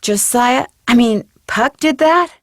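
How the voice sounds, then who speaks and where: An actress playing a polite, inquisitive young amateur detective in a video game, asking tactful, probing questions. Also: female voice, a little high, animated, slow pace, dry recording, studio quality. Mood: curious.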